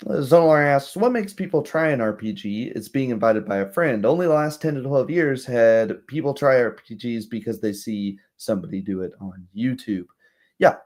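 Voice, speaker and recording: A man's voice: fairly low pitch, a little mellow. Young man rambling casually on a livestream. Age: young adult. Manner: rambling, halting asides, frequent "right?" tags. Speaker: livestream host.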